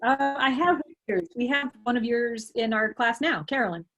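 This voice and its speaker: A woman's voice: fairly high pitch, moderate pace, a bit bright, dull audio. A woman hosting an online group call, moderating casually. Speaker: call host.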